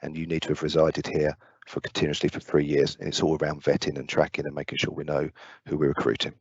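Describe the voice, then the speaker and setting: A man with a very deep voice speaks quickly as a guest on an online careers call, explaining in a businesslike way. The sound is dry and dull, like a video-call recording. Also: monotone, vocal fry, husky, no room sound. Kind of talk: answering questions on an online call.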